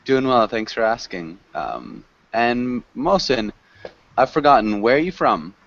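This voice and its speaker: A man teaching a conversational online group class, encouraging and gently correcting learners. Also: medium pitch, big pitch swings, unhurried. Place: group video call. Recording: muffled, lo-fi online call audio.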